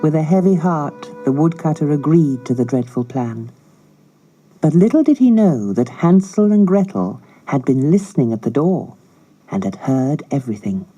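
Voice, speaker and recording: A woman narrating a children's storybook in a measured, expressive, scripted style. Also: low voice, lo-fi sound, over music. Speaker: audiobook narrator.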